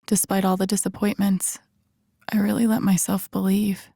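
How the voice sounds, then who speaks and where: A woman's voice: mid-range voice, little pitch movement, moderate pace, creaky, warm tone, husky, no room sound, clean studio sound. A woman playing an earnest, wry, vulnerable young video game heroine, in an introspective, understated inner-monologue style. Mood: grieving, vulnerable. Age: young adult.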